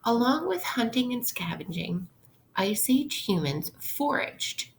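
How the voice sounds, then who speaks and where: A woman's voice: fairly high pitch, big pitch swings, takes it slowly, gravelly, slight background noise, poor audio. A woman teacher reading aloud to children in an instructional way, explaining things simply. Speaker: elementary school teacher. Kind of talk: interactive read-aloud lesson for children.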